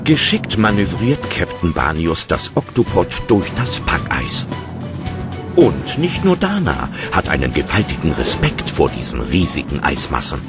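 A man's voice: low-pitched, huge pitch swings, a little slow, full character voice. A man narrating a scripted children's sea adventure as a storyteller. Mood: suspenseful. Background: loud music.

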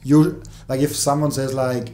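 A man's voice: medium pitch, little pitch movement, normal speed, mellow. A young man hosting a podcast in a small studio, talking casually into a handheld mic with many fillers and restarts. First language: German. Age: young adult. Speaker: podcast host. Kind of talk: casual interview chat. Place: small studio with colored backdrop.

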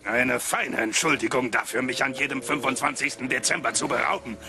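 An actor playing a cold, scornful, ill-tempered old miser in an animated film, with curt, sneering delivery. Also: male voice, medium pitch, fairly quick, lightly acted. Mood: grudging, indignant. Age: older.

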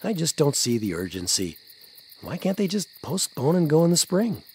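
An actor playing an earnest, sceptical middle-aged American father with a husky voice in a family audio drama. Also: a little high, big pitch swings, moderate pace, darkish tone, exaggerated voice. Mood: sceptical, bothered.

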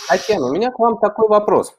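Higher-voiced man interviewing, deliberate phrasing. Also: expressive, slight background noise. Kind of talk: interview questioning. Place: indoors, small room, video call.

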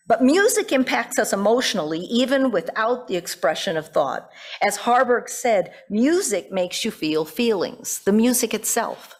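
A woman's voice: fairly high pitch, lively intonation, moderate pace. Older woman pastor giving a sermon. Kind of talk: sermon read from notes with informal asides. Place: church sanctuary at a pulpit. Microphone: gooseneck pulpit microphone.

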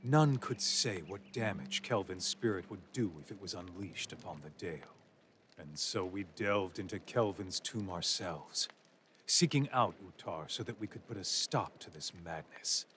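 A man giving grave, measured scripted narration for a fantasy game. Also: sits low, animated, a bit bright, slight background noise.

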